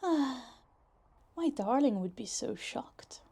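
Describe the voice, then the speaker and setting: Actress as clingy, lovesick yandere girl for roleplay ASMR: higher female voice, possessive, teasing, pouty, conversational. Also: expressive, drawn-out words, creaky, warm tone, slightly rough, exaggerated voice. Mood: pining, obsessive. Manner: teasing, pouty, dramatic, casual swearing.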